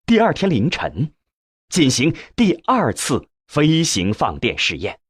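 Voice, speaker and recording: Slow, husky male audiobook narrator. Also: medium pitch, no echo, studio quality. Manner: measured, expressive storytelling. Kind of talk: scripted narration of a science fiction novel.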